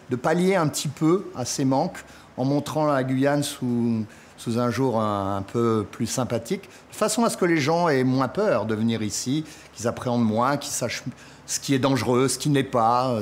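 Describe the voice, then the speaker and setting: A man's voice: medium pitch, moderate pace. Man explaining, with noisy ambience. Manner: explanatory, enumerating points. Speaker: insect collector and exhibition guide. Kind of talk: spontaneous documentary interview. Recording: clear background noise, natural ambience.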